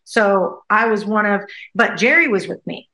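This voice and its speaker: A woman with a bright, forceful voice tells a personal story as a podcast guest. She talks casually, repeats herself for emphasis, and her voice rings a little in a roomy space. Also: mid-range voice, normal speed. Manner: animated, repeats phrases for emphasis. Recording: echoey room.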